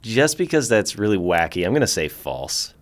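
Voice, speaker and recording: A man co-hosting a casual podcast, thinking out loud and laughing easily as he guesses trivia answers. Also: on the low side, big pitch swings. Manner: easygoing, thinks aloud, quick to laugh. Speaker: podcast co-host. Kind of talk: casual chat.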